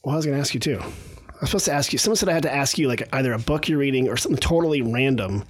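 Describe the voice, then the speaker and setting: Fast-talking joking male podcast host. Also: mid-range voice, expressive, slightly rough. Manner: joking, teasing, quick banter. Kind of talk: casual interview chat.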